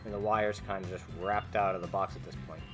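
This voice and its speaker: A man's voice: low-pitched, fairly even intonation, a little fast, music in the background. A young man plainly explaining a DIY speaker build step by step, with some fillers, in a home garage. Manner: plain, matter-of-fact with fillers. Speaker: co-host of a DIY video.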